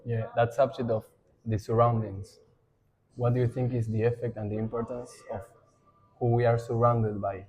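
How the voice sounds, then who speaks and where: A man hosting a podcast who asks open questions and fills his guest's pauses with short agreeing replies. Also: mid-range voice, level tone, muffled recording. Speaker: podcast host. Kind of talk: casual podcast interview.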